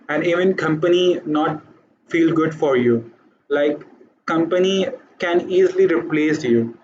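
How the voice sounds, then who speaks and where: A man's voice: fairly high pitch, a little mellow, highs cut off, slight background noise. A man with an Urdu accent, a native Urdu speaker, giving a hesitant motivational talk to camera, full of fillers and restarts. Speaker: vlogger.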